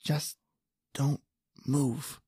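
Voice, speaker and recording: A woman's voice: extremely low pitch, level tone, extremely slow, mellow, slightly rough, no room sound, exaggerated voice. A woman playing a terrified stammering man. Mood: tense, hushed. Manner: stammering, panicky. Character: frightened injured man hiding in a tunnel. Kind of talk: old-time radio drama acting.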